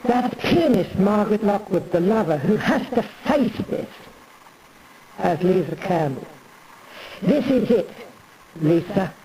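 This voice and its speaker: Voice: mid-range voice, animated, slow pace, pauses often, noisy background. A performer playing an imperious, aggrieved Tudor queen in a theatrical parody monologue, declaiming in a pompous, rambling way. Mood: declamatory, solemn.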